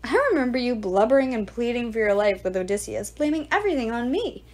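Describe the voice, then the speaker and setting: A woman's voice: fairly high pitch, big pitch swings, normal speed, darkish tone. A woman reader voicing an arrogant, sneering male ghoul, the ghost of a suitor, talking with mocking contempt.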